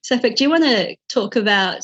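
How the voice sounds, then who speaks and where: A woman's voice: a little high, animated, a little fast, fairly bright tone, muffled recording. An Australian-accented woman talks over a video call in an easy, conversational way. She speaks fluently and in a friendly tone about her poetry. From Vietnam, raised in Australia. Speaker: poet and critic. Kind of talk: casual video call chat.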